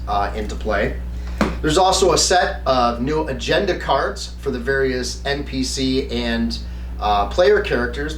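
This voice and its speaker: A man hosting a review, explaining to viewers in an enthusiastic but halting, emphatic way. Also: medium pitch. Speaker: host of a game review channel. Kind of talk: semi-scripted review talk to viewers. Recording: roomy, distant mic with faint hum.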